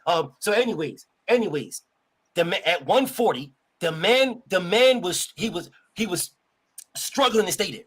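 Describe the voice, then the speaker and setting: A man's voice: sits high, animated, normal speed, slightly rough. A man arguing boxing on a podcast, insistent and repetitive, talking over the other speaker to press his facts. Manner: insistent, repeats points, talks over others. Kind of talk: heated sports debate.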